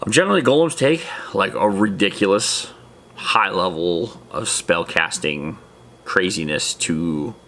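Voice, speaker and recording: Male host, lower-pitched voice, informal and enthusiastic, for a loose, chatty hobby explainer. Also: bright, forceful tone. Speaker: host of a tabletop gaming lore video series. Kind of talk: casual explainer talk to viewers.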